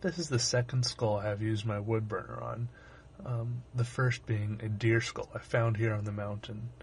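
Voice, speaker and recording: A young man with a sore, hoarse throat, narrating his own art video in a rambling, wry, self-deprecating way. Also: on the low side, fairly even intonation, moderate pace, slight background noise. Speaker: artist and channel host. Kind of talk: casual voice-over narration.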